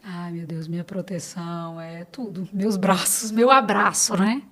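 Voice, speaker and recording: A woman's voice: lowish voice, steady tone, measured pace, fairly bright tone. A Brazilian woman speaking as a podcast guest, earnest and emphatic, in a conversational, personal style with encouraging exhortations. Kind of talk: conversational interview, personal testimony.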